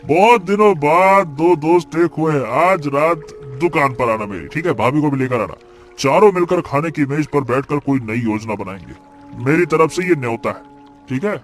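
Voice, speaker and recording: A man's voice: a little high, lively intonation, moderate pace, close to an everyday voice. Man acting an emphatic, troubled cartoon cook. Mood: hopeful, friendly. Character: troubled small eatery cook Ratan, frustrated but eager friend. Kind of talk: Hindi cartoon moral-story dialogue. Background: faint music.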